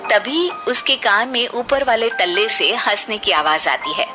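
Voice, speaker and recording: A woman narrates a scripted cartoon tale. Her voice sits a little high, and music plays clearly behind her storytelling. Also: lively intonation, unhurried.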